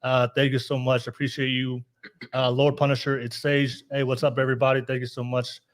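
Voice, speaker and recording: A man's voice: medium pitch, flat delivery, a little fast. A man hosting a casual live podcast, upbeat, laughing often and restarting his sentences. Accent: Californian. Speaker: podcast host.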